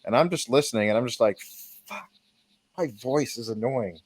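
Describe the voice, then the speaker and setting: A man's voice: medium pitch, normal speed. A man in his forties from Texas hosting a casual podcast, rambling, laughing easily and swearing. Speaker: podcast host and editor. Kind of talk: casual chat.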